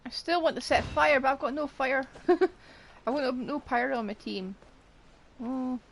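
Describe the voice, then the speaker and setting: A woman's voice: high-pitched, a little slow, creaky, slight background noise, low-quality recording. A woman livestreamer giving casual gameplay commentary, playfully muttering to herself. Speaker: game livestreamer.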